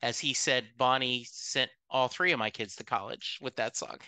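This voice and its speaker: Bright, crisp man hosting a podcast. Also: mid-range voice, steady tone, dry recording. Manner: rambling, with restarts and drawn-out words. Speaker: podcast host. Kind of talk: casual interview chat.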